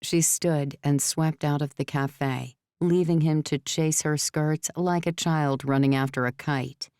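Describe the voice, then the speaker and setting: A woman's voice: low-pitched, fairly even intonation, a little slow, darkish tone, smooth, no room sound, clean studio sound. A woman reading scripted novel narration as an audiobook narrator.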